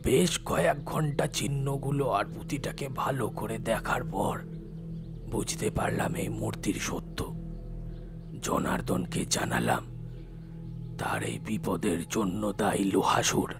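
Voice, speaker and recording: A man playing a solemn, compassionate tantric telling a horror audio story in a slow, hushed, deliberate way. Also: on the low side, lively intonation, gravelly, music in the background. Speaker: narrating lead character.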